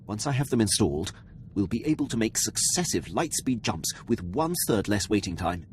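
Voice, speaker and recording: A man's voice: lowish voice, very animated, moderate pace, a little mellow, a little hiss or hum. An actor playing a devoted male military officer on a starship in a video game, speaking in a formal, precise, earnest way. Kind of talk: scripted video game dialogue.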